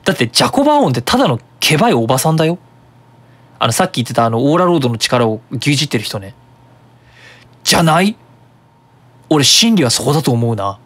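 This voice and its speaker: A man hosting a web radio show, explaining his opinions in a casual, animated monologue. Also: medium pitch, fast, pauses often, husky.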